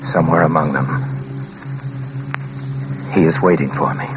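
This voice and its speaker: An actor playing a frightened young man traveler coming unraveled, halting and dramatic, in a 1940s radio play. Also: a bass voice, fairly even intonation. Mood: haunted, brooding. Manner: dramatic, halting, repeating himself. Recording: noisy vintage 1940s radio recording.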